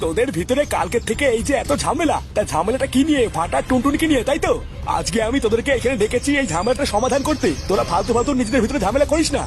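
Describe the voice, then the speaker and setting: A man voicing a gruff, impatient older uncle in a cartoon, blunt and scolding in slang. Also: extremely high pitch, animated, very fast, soft background music. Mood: firm, placating.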